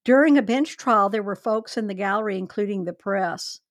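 A woman hosting a true crime podcast, telling the case in a chatty, animated, wry way. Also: mid-range voice, fairly quick, no room sound, studio quality. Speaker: podcast host.

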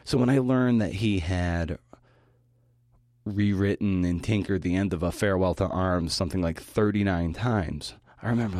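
A man's voice: deep voice, a little mellow, no echo, clean studio sound. A man speaking as a podcast host, giving a prepared talk with casual, folksy asides and a reflective manner.

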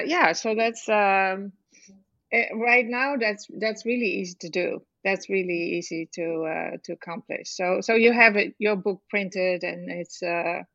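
A woman in her fifties with a Dutch accent, a children's book author being interviewed, talking in a halting way with many fillers and restarts. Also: medium pitch, a bit bright, dry recording, lo-fi sound. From Netherlands. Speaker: author guest. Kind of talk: casual interview chat.

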